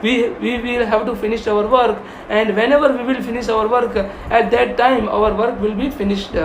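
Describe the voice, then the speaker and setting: A spiritual teacher with an Indian accent giving a devotional lecture in an earnest, repetitive, halting way. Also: mid-range voice, steady tone. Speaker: spiritual teacher and video host. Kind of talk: devotional lecture with verse recitation. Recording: roomy echo, faint background noise.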